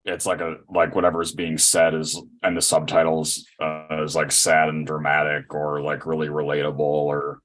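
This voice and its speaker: A man chatting casually as a podcast guest, with a hesitant, halting way of talking. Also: rumbling low, completely flat delivery, a bit bright, faint music behind. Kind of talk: casual chat.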